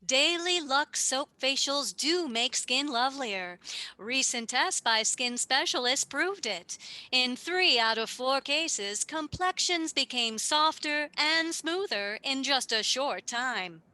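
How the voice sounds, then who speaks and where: A woman's voice: sits high, lively intonation, slow pace, sharp tone, dry recording, full character voice. A woman playing a genial, salesmanlike radio announcer delivering 1940s-style advertising. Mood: genial, persuasive.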